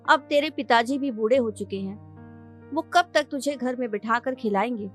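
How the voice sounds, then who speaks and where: A woman's voice: on the high side, normal speed, a bit bright, lightly acted. A woman voicing a worried, nagging village mother who pleads with her grown son in a cartoon. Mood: worried, scolding. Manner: pleading, admonishing. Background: background music.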